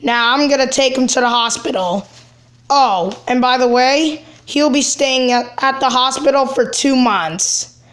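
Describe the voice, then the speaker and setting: A matter-of-fact cartoon superhero, played by a woman, speaks in a high voice. The rescuer is helpful and halting, with unhurried delivery. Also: fairly bright tone. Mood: helpful, calm.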